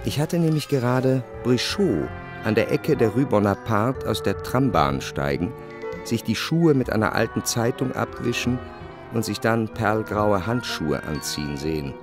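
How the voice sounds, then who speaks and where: An actor playing a refined, jealous, brooding man who narrates his memories in a measured, articulate radio play performance. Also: lowish voice, expressive, music in the background. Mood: reflective.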